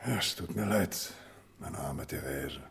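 An actor playing a paternal older family doctor in a radio play, husky, grave and consoling. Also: male voice, a bass voice, expressive, measured pace, a bit bright, exaggerated voice.

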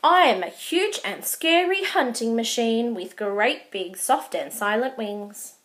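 An indignant little baby owl , as a woman reading aloud puts him on, shouts in slow, stretched words. His voice rings bright and high. He insists he is fierce. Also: expressive. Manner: loud, drawn-out protesting shouts.

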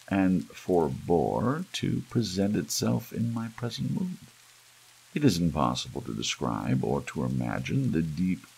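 A man with a gravelly, husky voice reads a horror story aloud in a theatrical, brooding ASMR audiobook style, with emphatic swells. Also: rumbling low, rough recording. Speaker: audiobook reader. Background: soft rain.

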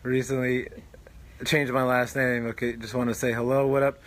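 A man's voice: medium pitch, flat delivery, normal speed, a bit bright. A young man hosting an interview outdoors, talking in a loose, chatty way with fillers. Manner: chatty, laughs along, fillers. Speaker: show host. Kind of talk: casual interview chat. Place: outdoors under a tree.

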